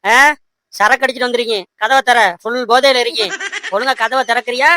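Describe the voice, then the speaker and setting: A woman's voice: fairly high pitch, steady tone, fairly quick, noisy background, close to an everyday voice. A woman voicing an insistent, bossy young fiancé in an amateur cartoon dub, repeating short commands and questions. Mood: drunken, threatening. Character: young man, the heroine's fiancé, bossy and coaxing.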